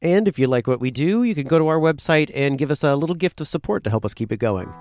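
A man's voice: a little high, animated, fast, darkish tone. A man presenting an audio theater podcast, giving introductions and credits as its announcer.

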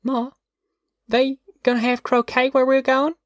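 Man doing a young girl, high lifted pitch, restless tattler, folksy grammar, audiobook character. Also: little pitch movement, a little slow, no echo, studio quality. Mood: wistful. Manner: folksy, ungrammatical child talk.

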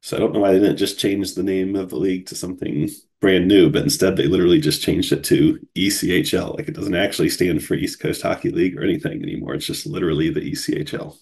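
Low, gravelly man with a cold. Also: fairly even intonation, fast talker, few pauses, a little mellow. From Cincinnati, USA. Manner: casual, chatty, easygoing. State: groggy from a cold. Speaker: podcast host. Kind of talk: solo podcast monologue.

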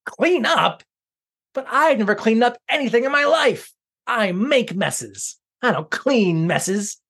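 A man voicing a messy, boastful villain who shouts with glee in a storybook tale. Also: extremely high pitch, expressive, slow, vocal fry, husky, voice pushed up, exaggerated voice. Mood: whiny. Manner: loud, boastful and gleeful. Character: messy, gleeful male trash villain.

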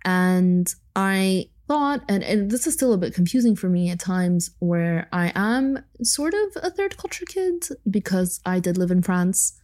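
A woman's voice: medium pitch, expressive, unhurried, hardly any pauses, darkish tone. A young woman hosting a solo podcast, talking reflectively with small laughs and asides. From Egypt. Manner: thoughtful, with light laughs and asides. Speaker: podcast host.

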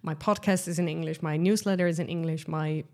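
Dutch-accented woman, lowish voice. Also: steady tone, brisk, darkish tone. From Netherlands. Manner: chatty, self-correcting, laughs easily. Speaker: business coach and podcast host. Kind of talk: solo podcast monologue.

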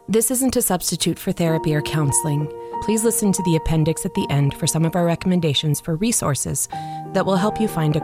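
A man giving a steady, formal spoken introduction to a drama podcast. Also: a little high. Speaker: show announcer. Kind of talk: scripted intro narration. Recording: voice over background music.

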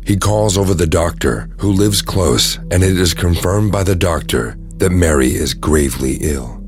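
A man's voice: deep voice, expressive, moderate pace, faint music behind. A man giving scripted dramatic narration for an audio drama.

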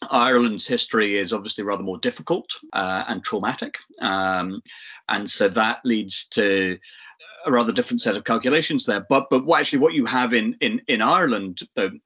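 A man giving a measured, analytical answer, pausing often with fillers and restarts. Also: fairly low pitch, bright, forceful tone, slightly rough. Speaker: guest expert. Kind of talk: analytical discussion.

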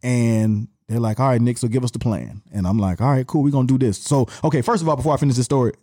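A middle-aged man with an Atlanta Southern accent, a podcast guest telling stories in an animated, slangy way. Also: medium pitch, fast talker, no echo, clean studio sound. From Atlanta, USA. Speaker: podcast guest, music marketer. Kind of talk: casual storytelling chat.

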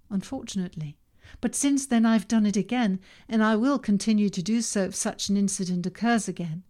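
Calm Danish woman narrating self-help. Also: medium pitch. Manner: calm, measured reading with slow emphasis on key words. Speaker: podcast host and author.

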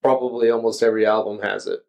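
A man reviewing music on a podcast, chatting casually and explaining things in measured wording. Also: fairly low pitch, level tone, creaky, a little mellow.

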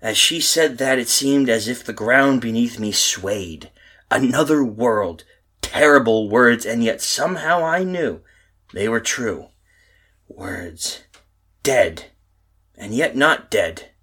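A man acts out a bewildered, terrified hero telling his own radio horror tale. He stammers and breaks off dramatically, his husky voice swinging widely between dread and alarm. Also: mid-range voice, a bit bright, heavily acted. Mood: grim, haunted. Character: frightened, bewildered man stranded in a nightmare world. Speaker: actor narrating and playing the lead. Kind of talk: old-time radio horror acting, first-person narration.

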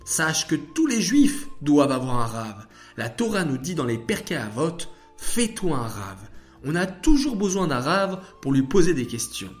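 Storyteller doing a gentle encouraging dad in a kids story, very expressive, soft music bed behind. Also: medium pitch, a little slow. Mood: gently instructive. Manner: gentle, affectionate, instructive. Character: kind, patient father, learned and encouraging.

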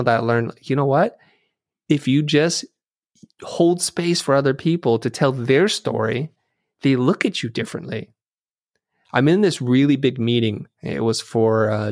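A man's voice: mid-range voice, no room sound. Earnest middle-aged man, dry close sound. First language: Vietnamese. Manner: thoughtful, earnest, with frequent restarts. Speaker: interview guest, designer and teacher. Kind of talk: reflective storytelling in conversation.

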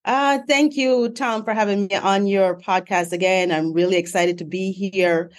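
A middle-aged woman from Jamaica, a guest in a casual interview, chatty and quick to laugh, listing what she does one item after another. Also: mid-range voice, steady tone. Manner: chatty, laughs often, stacks up lists. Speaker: guest, author.

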